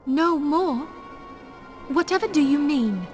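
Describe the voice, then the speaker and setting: Composed noble lady leader from a fantasy game, highish voice, formal old-timey wording, music behind her. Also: expressive, darkish tone. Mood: alarmed. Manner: formal, measured, archaic diction. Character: composed, learned noblewoman leader, earnest and resolute. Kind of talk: video game cutscene acting. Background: background music.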